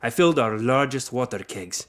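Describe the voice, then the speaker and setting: An actor playing a weathered knight who recounts his childhood in formal, measured phrases, in audio drama. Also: medium pitch, animated, close to an everyday voice. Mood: reflective.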